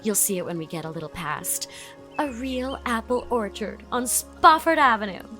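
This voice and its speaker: A woman's voice: a little high, sing-song, normal speed, a bit bright, soft background music. A woman playing a chatty, effusive young society woman in an audiobook drama, leaning on words for dramatic emphasis. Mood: confiding.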